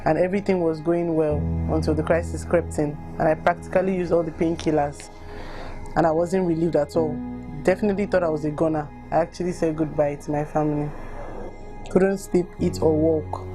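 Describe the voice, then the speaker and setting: A woman's voice: low voice, fairly even intonation, fairly quick. A woman with a Nigerian accent, reading personal accounts aloud and speaking candidly about them. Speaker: volunteer reader reacting to written accounts. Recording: music bed under the voice. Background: music.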